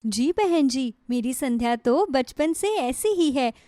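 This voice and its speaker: A woman's voice: high-pitched, animated, takes it slowly, warm tone, no room sound. A reassuring, devoted middle-aged mother from a cartoon moral tale, performed by a woman. She talks in an earnest, soothing way. The recording is dry. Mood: proud. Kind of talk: Hindi moral story cartoon acting.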